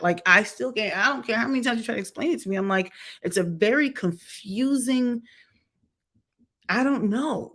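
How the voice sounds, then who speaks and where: A woman's voice: medium pitch, fairly bright tone. Blunt chatty middle-aged woman. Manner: chatty, candid, blunt, with mocking asides. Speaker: vlogger reviewing a TV show. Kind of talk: casual opinion commentary.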